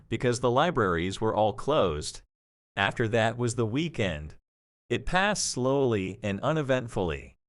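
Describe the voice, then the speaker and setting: A male narrator reads a scripted story with an expressive, varied delivery. His voice sits at a medium pitch. The recording is dry, with no room sound. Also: no echo.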